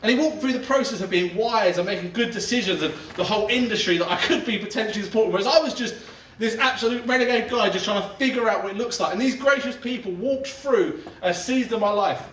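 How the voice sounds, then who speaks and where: A man's voice: high-pitched, fast, a bit bright, roomy sound, far from the mic, a little hiss or hum, poor audio. A man in his thirties, a pastor giving a chatty, self-deprecating sermon and laughing as he talks. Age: 30s. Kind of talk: conversational sermon. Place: church auditorium.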